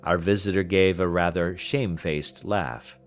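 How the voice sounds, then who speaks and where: A man narrating a literary audiobook in a measured, expressive manner. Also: deep voice, faint music behind.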